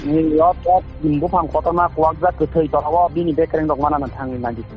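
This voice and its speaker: A man talking on a phone call in a halting way, repeating words and phrases. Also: a little high, steady tone. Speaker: caller. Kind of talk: phone conversation. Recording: muffled phone call recording, faint music behind.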